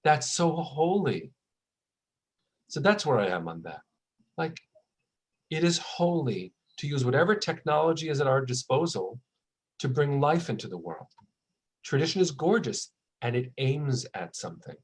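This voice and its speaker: A man's voice: medium pitch, very animated, moderate pace, pauses often, slightly rough. A man in his forties, a rabbi and author, talking in a conversational interview and telling stories that act out the people he quotes. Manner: warm storytelling, acts out quotes.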